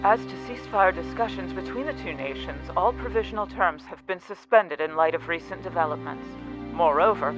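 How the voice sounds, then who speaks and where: A man acts a formal news announcer in an audio drama. The voice is high for a male, in a dull lo-fi broadcast with music underneath. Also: brisk, close to an everyday voice. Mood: solemn, formal. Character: formal radio newsreader announcing grave news.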